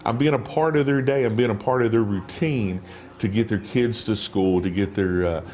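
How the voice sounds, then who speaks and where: A man's voice: lowish voice, moderate pace, a little mellow. A man who works as a morning radio DJ, talking casually in a rambling, chatty way. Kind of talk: casual interview.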